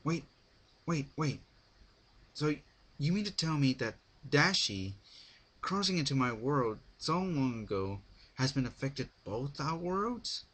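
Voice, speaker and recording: Man acting a stubborn, slow-talking story hero. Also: medium pitch, sing-song, fairly bright tone, slightly rough, pitched above the performer's own voice, heavily acted. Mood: annoyed. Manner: blunt, argumentative.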